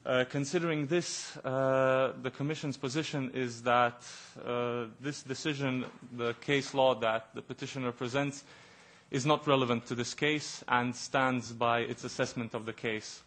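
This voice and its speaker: A man in his thirties giving a formal statement as a Commission representative, measured and often pausing on "uh", into a desk microphone in a large committee room. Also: medium pitch, fairly even intonation, a bit bright. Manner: measured, with frequent hesitant "uh" fillers.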